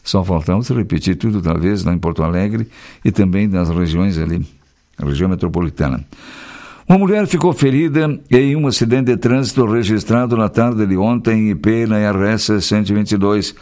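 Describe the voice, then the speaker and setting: A man with a somewhat low voice reads regional news on the radio. He breaks into casual, folksy remarks between stories. His delivery mixes read copy with easygoing chat. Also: expressive, normal speed, warm tone. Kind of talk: reading local news with casual asides.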